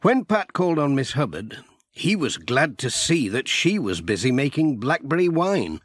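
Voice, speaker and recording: A man's voice: mid-range voice, very animated, moderate pace, dry recording, clean studio sound. A man with a British accent narrating a scripted children's story as an audiobook reader.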